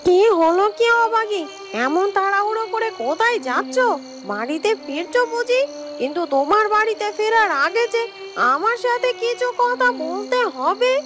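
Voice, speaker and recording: A woman's voice: very high voice, expressive, normal speed, over music. A woman playing a stern, vengeful young woman in a cartoon story, confronting someone with emphatic accusations. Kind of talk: Bengali cartoon story dialogue.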